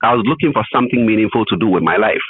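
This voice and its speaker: A middle-aged man with a Ghanaian accent telling his story in an interview, in deliberate phrases with emphatic repetition. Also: on the low side, lively intonation, slightly rough, dry recording. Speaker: interview guest. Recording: thin phone-like line, muffled detail.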